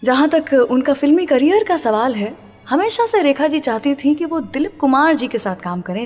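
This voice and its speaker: A woman presenting a classic film song radio show, chatting directly to her listeners. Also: on the high side. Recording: long reverb, music playing behind. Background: music.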